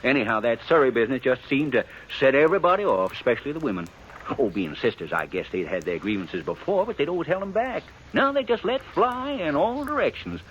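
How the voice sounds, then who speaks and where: A man's voice: medium pitch, sing-song, a little fast, a bit bright, muffled recording. An actor in a 1950s radio western playing a gossipy, evasive small-town man with a folksy, stammering, rambling delivery. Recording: faintly noisy 1950s radio recording.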